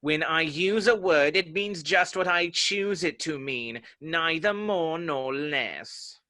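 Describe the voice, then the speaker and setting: A man's voice: a little high, takes it slowly, bright, forceful tone. A man playing a haughty, touchy, pedantic egg character in a dramatic reading of a children's classic. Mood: pompous, contradicting.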